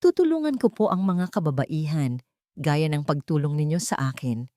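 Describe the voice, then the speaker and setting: A woman voicing a grateful, devoted young wife in a romance story, soft and heartfelt. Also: low voice, expressive, normal speed, dry recording, clean studio sound. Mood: solemn, resolved.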